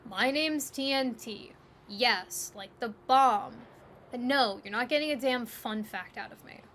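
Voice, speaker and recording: A woman's voice: fairly high pitch, unhurried, fairly bright tone, slight background noise. A woman voicing an abrasive, hot-tempered graffiti artist in an audio drama, brusque and scornful.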